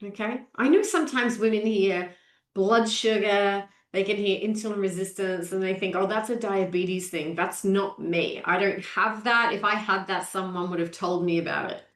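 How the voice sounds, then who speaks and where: A woman's voice: medium pitch, moderate pace, echoey room. A woman in her forties, a nutrition coach, hosting a live-stream training and greeting viewers by name in an upbeat way. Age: 40s.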